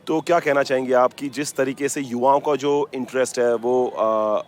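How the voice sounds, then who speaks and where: A man's voice: mid-range voice, fairly even intonation, moderate pace. A man hosting live event coverage for radio, mixing in English, with hesitant, effusive presenter patter. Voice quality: mixes English phrases into Hindi. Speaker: radio station host and interviewer at an expo. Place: busy expo grounds.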